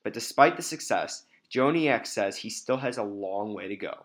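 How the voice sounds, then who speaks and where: A man's voice: lowish voice, lively intonation, moderate pace. A young man narrating a podcast feature from a script, in documentary style.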